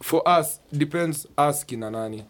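A man's voice: a little high, a bit bright. A man in his twenties with a Kenyan accent, bantering casually as a guest on a podcast in a studio. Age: 20s. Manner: banters in Sheng, quick and joking. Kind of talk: casual chat.

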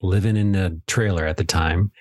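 Low, husky male podcast co-host. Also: fairly even intonation, moderate pace, a little mellow, no echo, clean studio sound. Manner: easygoing, interjecting short agreements. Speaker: podcast co-host interviewing his father. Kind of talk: casual chat.

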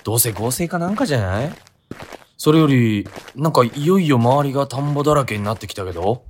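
A man's voice: medium pitch, lively intonation, fast talker, slight background noise, natural voice. An actor playing a naive, doubtful young man questioning his friend casually in a comic radio drama. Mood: sceptical.

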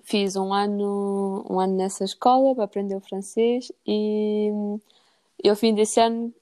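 A woman's voice: mid-range voice, fairly even intonation, unhurried, dark tone, smooth. A woman with a European Portuguese accent giving casual, conversational answers as a podcast guest.

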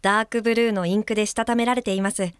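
An actress playing a gentle guide helper for the blind who reads a letter aloud carefully in a radio drama. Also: female voice, medium pitch, steady tone, very fast, clean tone, no echo, studio quality, natural voice.

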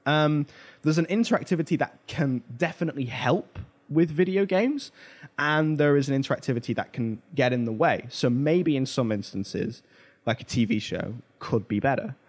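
Man, podcast host, medium pitch, informal discussion, emphatic storytelling. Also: lively intonation, moderate pace. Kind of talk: casual pop culture discussion.

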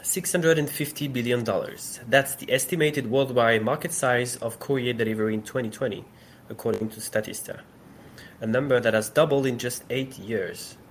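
A man hosting a podcast, reading a scripted introduction in an even, measured way. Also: medium pitch. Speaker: podcast host. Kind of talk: scripted intro, then casual chat.

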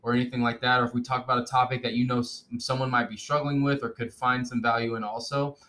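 Young guy hosting a podcast, pumped and jokey, middle pitch, lots of ums. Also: level tone, fast talker, bright, forceful tone. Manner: upbeat, joking, loose with fillers. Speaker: podcast host. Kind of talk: casual podcast chat.

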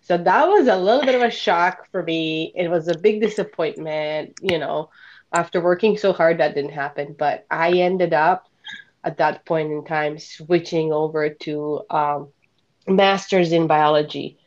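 A woman from Bosnia, a podcast guest in her thirties telling animated stories in a casual interview and laughing often. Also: low-pitched, unhurried, dull audio.

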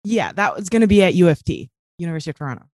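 A woman's voice: fairly low pitch, lively intonation, rapid-fire, a little mellow, dry recording. Earnest young woman, dry recording. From Kuwait. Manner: earnest, frequent "um" fillers. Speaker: guest. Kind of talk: casual interview talk.

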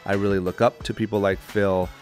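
A man's voice: fairly low pitch, level tone, a little fast, darkish tone, faint music behind. A man hosting an interview podcast, talking in an eager, rambling way with lots of fillers and restarts. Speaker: podcast host. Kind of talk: casual interview chat.

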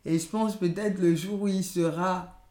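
A woman's voice: lowish voice, fairly even intonation, normal speed, warm tone. A woman from Benin whose first language is Yoruba, answering interview questions in a thoughtful, hesitant way with many restarts. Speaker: podcast guest. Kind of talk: conversational interview. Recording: echoey room.

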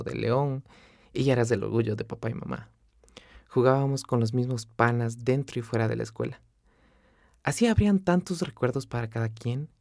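A man's voice: mid-range voice, creaky, warm tone, no echo, studio quality. A young man from Quito with an Ecuadorian accent, telling his own life story in an earnest, confessional way. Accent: Quito Ecuadorian Spanish. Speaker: podcast host. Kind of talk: personal storytelling monologue. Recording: faint music underneath.

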